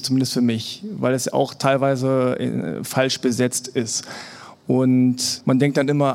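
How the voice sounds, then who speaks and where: A man from Germany, a podcast guest, talking casually on a live panel and acting out the voices of people he quotes. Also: mid-range voice. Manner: relaxed, acts out quoted voices of others. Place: live event at an embassy.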